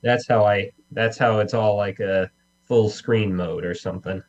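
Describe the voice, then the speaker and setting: A man chatting casually in a halting way, rephrasing as he goes, over a laptop video call. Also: lowish voice, steady tone, darkish tone. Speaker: guest actor. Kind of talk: casual chat. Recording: dull laptop microphone audio.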